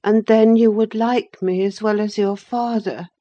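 A woman's voice: fairly high pitch, slow, a little mellow, dull audio, voice pushed up. A woman voicing a sickly, spoiled boy in an audiobook, petulant, needy and spiteful. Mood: wheedling. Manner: petulant, wheedling. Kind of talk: dramatised audiobook reading of a classic novel.

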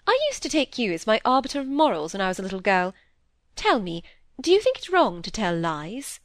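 A woman's voice: mid-range voice, wildly swooping pitch, brisk, dry recording, clean studio sound. A woman voicing a frank, teasing, world-weary young noblewoman in a period novel, speaking in a breezy, imperious and emphatic way. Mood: frank, playful. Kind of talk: audiobook character voice in a period novel.

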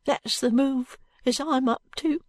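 Woman as a frightened, high-voiced Cockney street boy. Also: no room sound. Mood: wretched, defensive. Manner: hushed, halting, uneducated speech. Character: frightened, ragged London street boy, wretched and wary.

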